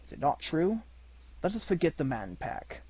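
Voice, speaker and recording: Guy doing a menacing male panther for a storybook, measured and imperious, kinda husky, dry recording. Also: on the high side, very animated, darkish tone, no echo, pitched above the performer's own voice. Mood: calm, coaxing.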